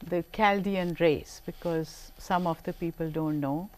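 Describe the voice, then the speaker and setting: A woman hosts an interview, putting her questions in a formal, deliberate way. Her voice is on the lower side. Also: fairly even intonation. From Pakistan. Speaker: talk show host. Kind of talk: hosting an interview.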